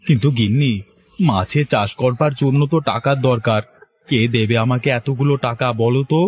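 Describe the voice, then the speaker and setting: A man's voice: medium pitch, normal speed, darkish tone, natural voice. A man playing a plaintive, luckless fisherman. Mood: worried. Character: poor, unlucky, impatient fisherman husband, self-pitying. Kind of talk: Bengali cartoon story voice acting.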